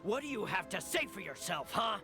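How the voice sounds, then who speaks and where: An actor playing a stern, self-righteous guard in a video game, haughty and interrogating. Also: male voice, high voice, sing-song, brisk, over music. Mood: accusing.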